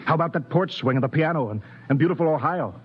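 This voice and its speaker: An actor playing a commanding, disbelieving spaceship captain in an old-time radio science fiction drama, giving clipped, authoritative orders. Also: male voice, fairly high pitch, lively intonation, fast, slightly rough. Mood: astonished, insistent. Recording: muffled, noisy vintage radio recording.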